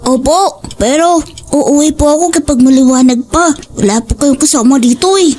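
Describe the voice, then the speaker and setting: Polite, devoted young son for horror audio drama, played by a woman; high, youthful schoolboy voice. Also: normal speed, a little mellow, natural voice. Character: dutiful, polite schoolchild devoted to mother, a boy.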